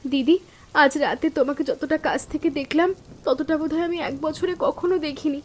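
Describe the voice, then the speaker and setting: Woman as high-voiced, timid young sister-in-law. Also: fairly quick, slight background noise, close to an everyday voice. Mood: grateful, trusting. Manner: anxious, pleading, deferential. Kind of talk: animated story dialogue.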